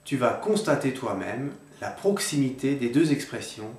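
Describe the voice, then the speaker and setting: Measured man lecturing in a roomy space. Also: mid-range voice, big pitch swings, a little mellow. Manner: measured, addresses viewer informally, reads out paired words. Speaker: video lecturer on the dialect. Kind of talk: didactic explainer to camera. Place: indoor room with books on a table. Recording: roomy echo.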